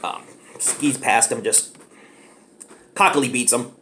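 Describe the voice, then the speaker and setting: A man's voice: mid-range voice, slow pace, a bit bright, a little hiss or hum. A man in his thirties giving a casual, rambling movie review in a small living room, speaking enthusiastically with many fillers into his webcam mic.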